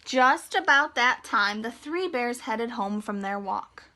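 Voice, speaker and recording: A woman's voice: a little high, expressive, slow pace, sharp tone, a little hiss or hum. A woman reading a fairy tale aloud to young children, expressively and with simple explanations. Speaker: children's storytime reader.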